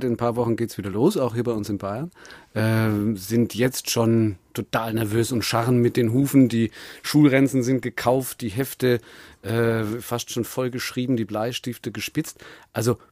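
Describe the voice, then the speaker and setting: A man's voice: medium pitch, fairly even intonation, moderate pace, darkish tone, no echo. A man hosting a radio talk show, asking probing and playfully teasing questions. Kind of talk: radio interview. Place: radio studio.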